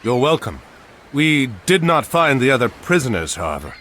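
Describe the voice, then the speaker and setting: An actor playing a bold, resolute middle-aged warrior hero in a video game, with a commanding delivery. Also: male voice, mid-range voice, big pitch swings, moderate pace, fairly bright tone, slight background noise. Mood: wary, grave. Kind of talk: scripted video game cutscene acting.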